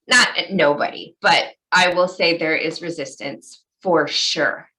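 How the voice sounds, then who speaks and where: A woman's voice: lowish voice, pushed and bright, low-quality recording. A woman speaking informally and candidly as a city official chairing a conference call.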